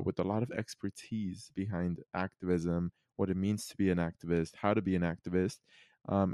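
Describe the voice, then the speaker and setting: A man's voice: sits low, warm tone, no echo, studio quality. A teenage boy hosting a podcast interview, friendly and loose, with many fillers. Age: teens. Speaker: podcast host.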